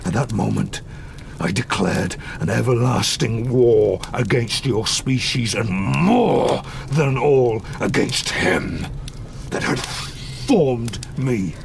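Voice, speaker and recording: Slow, gravelly, anguished monster. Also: male voice, medium pitch, lively intonation, a little hiss or hum. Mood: brooding, vengeful. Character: wretched, vengeful male man-made monster, lonely and bitter. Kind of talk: gothic audio drama acting.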